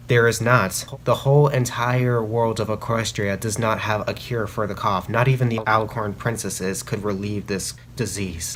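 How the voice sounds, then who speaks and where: A man hosting a review series, giving casual, opinionated commentary and emphatically repeating phrases for stress. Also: medium pitch. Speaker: host of a fan fiction review series. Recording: clearly noisy amateur recording.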